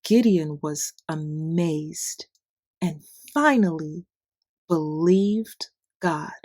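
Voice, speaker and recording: A woman reading a children's bedtime story in simple, deliberate phrases with expressive emphasis. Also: low-pitched, no room sound, studio quality. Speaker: children's Bible story narrator. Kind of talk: scripted read-aloud bedtime story narration.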